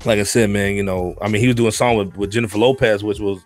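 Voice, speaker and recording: A man co-hosting a casual podcast chat over a video call, animated and preachy, tacking "man" onto his sentences. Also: fairly low pitch, quick pace, soft background music. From New York. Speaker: podcast co-host.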